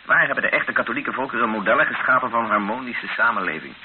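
A man's voice: medium pitch, fast, natural voice. An actor playing a bitter, skeptical man held hostage in a Dutch-language radio drama, formal and challenging. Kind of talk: radio drama acting and first-person narration. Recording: hissy, narrow-band old radio recording.

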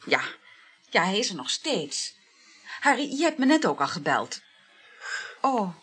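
A woman's voice: mid-range voice, very animated, measured pace, a bit bright, slightly rough, full character voice. An actress in a radio play, playing a flirtatious, gushing woman who juggles several lovers and chats effusively with sighing exclamations. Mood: chatty, pleading. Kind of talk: naturalistic radio play acting.

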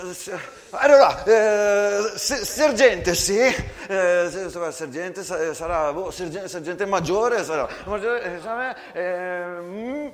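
A man playing a simple, eager young soldier in a stage story, with a halting, stuttering, excitable delivery. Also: sits high, voice pushed up, full character voice.

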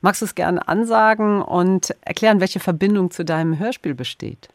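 A woman's voice: lowish voice, normal speed, dry recording, studio quality. A woman working as an interviewer, putting prepared questions in a conversational style.

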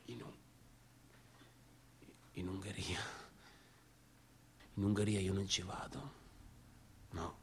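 A man's voice: deep voice, slow pace, long pauses, slight background noise. An actor playing a volatile, obsessive, defiant young man in a stage monologue, with a northern Italian accent and an agitated, repetitive delivery. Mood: brooding, defiant. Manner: agitated, repetitive, swinging from shouts to whispers. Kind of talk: theatrical monologue, stage acting.

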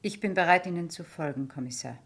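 A woman's voice: on the low side, quick pace. An actress playing a resigned woman doctor under interrogation in a radio crime drama, answering tersely and solemnly.

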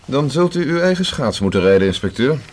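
An actor in a Dutch radio play playing a guarded, haughty gentleman under police questioning, speaking in a stiff, precise, formal way. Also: mid-range voice, sing-song, fairly quick. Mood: stiff, reluctant.

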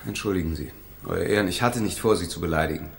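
An actor playing an earnest, insistent male defence lawyer with a formal, measured manner in a German radio play. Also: deep voice, vocal fry. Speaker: actor in radio crime drama.

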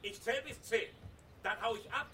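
A man playing a grumbling, impatient garbage man in a comic radio play, complaining loudly. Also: very high voice, normal speed. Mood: bossy. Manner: complaining, shouting from outside. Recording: distant voice with clear background noise.

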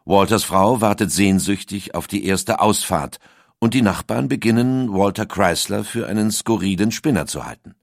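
Deep-voiced male documentary narrator. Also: expressive, moderate pace, creaky, no room sound, clean studio sound.

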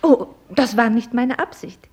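A husky, proud, sharp-tongued young lady. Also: a little high, unhurried. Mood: mocking politeness. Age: young adult. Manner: ironic, pointedly formal, probing. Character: proud, sharp-tongued young American millionaire's daughter, mockingly polite. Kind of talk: German radio play acting.

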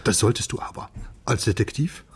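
A man's voice: medium pitch, expressive, fairly quick, noisy background, natural voice. An actor playing an amused, inquisitive male confidant in a radio crime drama, with a teasing manner. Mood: pondering, teasing.

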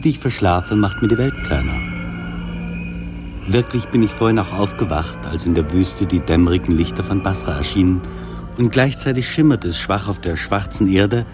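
A man's voice: fairly low pitch, lively intonation, moderate pace, over music. A man delivering literary first-person travel narration for radio.

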